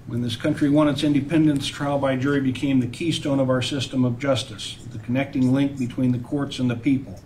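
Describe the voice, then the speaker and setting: A man's voice: medium pitch, steady tone, a little fast, hardly any pauses, a little mellow, recorded from a distance, a little hiss or hum, poor audio. A man speaking as a trial judge, formally and solemnly addressing the court from the bench. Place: indoor courtroom.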